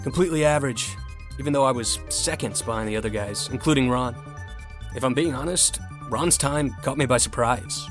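A man plays an earnest young rower telling his own story, with clear music behind him. His mid-pitched voice is candid and introspective, in first-person audio drama narration. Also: brisk, natural voice. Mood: candid, deflated. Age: young adult. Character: earnest, competitive young novice male rower.

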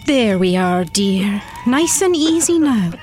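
A woman playing a kindly, brisk healer nurse in a fantasy audio drama. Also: mid-range voice, very animated, darkish tone, soft background music. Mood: soothing, brisk.

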